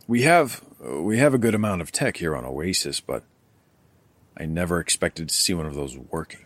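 A man's voice: low-pitched, animated, normal speed, fairly bright tone, no room sound. An actor playing a guarded, weary male survivor and chronicler in an audio drama, speaking in a hesitant, conversational way. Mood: intrigued.

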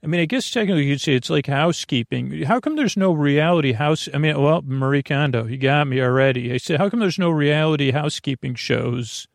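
A man hosting a bedtime podcast in a creaky, gravelly voice, rambling in a halting, meandering way and drifting into tangents mid-sentence. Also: fairly high pitch, dry recording, studio quality. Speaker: podcast host. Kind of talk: rambling bedtime monologue for falling asleep.

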